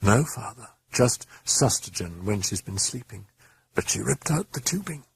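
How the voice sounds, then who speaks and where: A man's voice: lowish voice, animated, moderate pace, vocal fry, slightly rough, pitched above the performer's own voice, heavily acted. A man voicing a distressed, weary mother in an audiobook, strained and pleading. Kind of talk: audiobook character voice.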